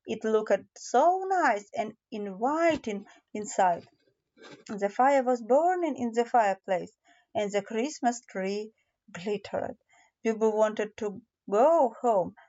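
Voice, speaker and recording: Slow, high-voiced woman reading a fairy tale. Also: mellow, lo-fi sound. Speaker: audiobook narrator. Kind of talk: children's fairy tale narration.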